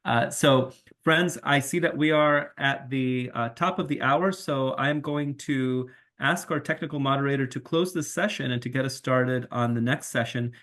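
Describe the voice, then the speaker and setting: A man's voice: mid-range voice, moderate pace, few pauses, fairly bright tone. Man chairing a virtual session. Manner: friendly, with fillers. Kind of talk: closing remarks to a virtual meeting.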